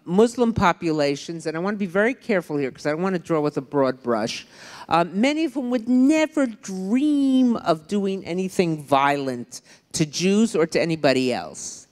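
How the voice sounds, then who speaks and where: A woman's voice: fairly low pitch, lively intonation, unhurried. An older woman author and historian speaking on a panel, chatty and digressive with wry asides, into a handheld mic. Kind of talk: public panel discussion. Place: large lecture hall on stage. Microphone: handheld microphone. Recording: long hall reverb.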